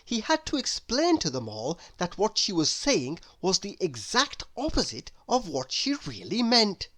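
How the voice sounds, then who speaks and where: A woman narrates a children's storybook. She reads slowly and deliberately, with very animated, expressive intonation that rises and falls widely. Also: medium pitch. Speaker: storybook reader. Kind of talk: children's read-aloud narration.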